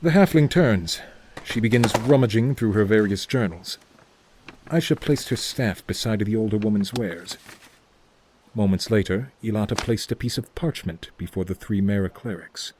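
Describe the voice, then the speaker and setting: A man's voice: on the low side, moderate pace, noisy background. A man reading scripted narration for a fantasy story as its narrator.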